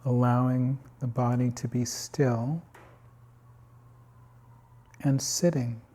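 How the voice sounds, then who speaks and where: A man guiding a meditation in calm, unhurried short phrases with long pauses, his voice slightly husky. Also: medium pitch. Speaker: meditation guide. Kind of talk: guided body scan meditation.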